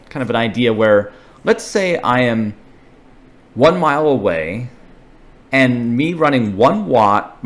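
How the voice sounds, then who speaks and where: Low-voiced man explaining informally. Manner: thinks aloud, informal, step-by-step. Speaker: presenter of a radio hobby explainer video. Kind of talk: casual unscripted technical explanation.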